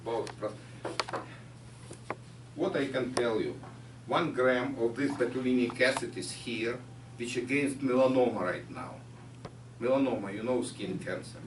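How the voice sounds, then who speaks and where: A man with a Ukrainian accent giving a science lecture, halting with many fillers. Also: medium pitch. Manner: halting non-native phrasing with frequent fillers. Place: lecture hall. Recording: echoey hall from far off, faint noise.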